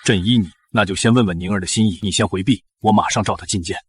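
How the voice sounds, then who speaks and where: An actor playing a shrewd, magnanimous emperor in a historical drama, speaking in a stately, measured way. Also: male voice, lowish voice, music in the background, close to an everyday voice. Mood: stately, sly. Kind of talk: historical short-drama acting.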